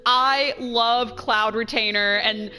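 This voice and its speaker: A woman's voice: on the high side, fairly even intonation, takes it slowly, pushed and bright, slight background noise. A young woman streaming a game, chatting casually and explaining things in a rambling, enthusiastic way. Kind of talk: casual chat, let's play commentary.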